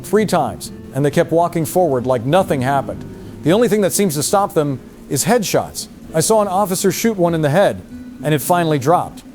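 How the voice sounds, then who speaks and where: A man playing a shaken field reporter giving a halting, alarmed live report. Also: fairly high pitch, lively intonation, darkish tone, soft background music, close to an everyday voice. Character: shaken male field reporter witnessing horror. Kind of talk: dramatized live news report.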